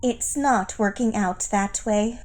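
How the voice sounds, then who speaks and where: A woman playing a deferential, wry alien underling giving measured reports in a sci-fi satire audio play. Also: medium pitch, darkish tone, lightly acted. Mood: dry, matter-of-fact.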